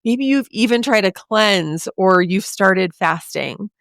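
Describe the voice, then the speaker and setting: A woman in her forties hosting a podcast, talking straight to the listener in a chatty, encouraging way, her voice a little raspy from a sore throat. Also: mid-range voice, animated, slow, a bit bright, no echo, clean studio sound. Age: 40s. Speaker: podcast host. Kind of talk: casual podcast monologue.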